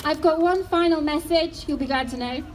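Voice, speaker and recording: A woman, giving an earnest speech at an outdoor climate rally, slowing down to stress key lines. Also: sits high, noisy background. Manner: earnest, slows down for emphasis.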